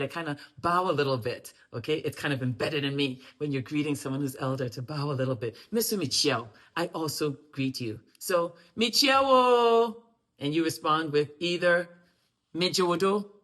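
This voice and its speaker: A woman leads a friendly greetings lesson to camera. She repeats each phrase slowly so learners can echo it. Now and then she breaks into lively, loud bursts of emphasis. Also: sits low. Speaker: language and culture teacher.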